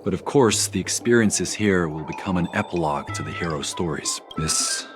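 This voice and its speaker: An actor playing a dignified, courteous foreign ambassador in a video game, speaking formally and earnestly. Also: male voice, low voice, normal speed, lightly acted. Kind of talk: English video game voice acting. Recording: game music behind the voice.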